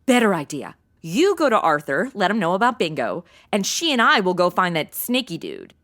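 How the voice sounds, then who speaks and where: A woman's voice: lowish voice, huge pitch swings, normal speed, fairly bright tone. An actress playing a flippant, sarcastic modern young woman turned amateur sleuth in a comedic audio drama, full of slang. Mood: sassy, chummy. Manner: sarcastic modern slang, valley-girl phrasing.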